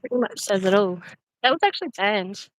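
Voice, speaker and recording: A woman's voice: medium pitch, lively intonation, a little fast. A woman from South Australia with a South Australian accent, chatting and laughing on a livestream while she walks outdoors through a cemetery. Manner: chatty and giggly, reads out viewer comments. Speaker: livestream host. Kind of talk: casual livestream chat walking a cemetery.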